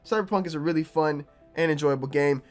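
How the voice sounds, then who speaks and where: A young man, a gaming YouTuber, talking casually to his viewers with a slightly raspy, low-energy voice from being sick. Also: fast, soft background music. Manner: conversational, repeats himself, low energy. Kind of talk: casual opinion vlog to camera.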